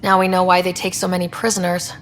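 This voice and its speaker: An actress playing a brisk female expedition leader in a sci-fi game. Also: fairly low pitch, monotone, background noise, close to an everyday voice.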